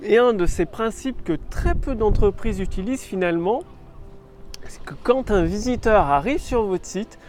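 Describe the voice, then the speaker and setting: A man's voice: high-pitched, animated, moderate pace, a little mellow. A man with a cold giving business coaching in a casual vlog, standing outdoors on a rock on an island, repeating his points emphatically. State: has a cold.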